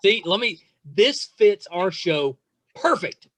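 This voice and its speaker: A man's voice: sits high, big pitch swings, slow pace, a bit bright. A middle-aged man hosting a casual interview, enthusiastic and rambling in the way he talks. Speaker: podcast host interviewing a guest. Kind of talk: casual chat.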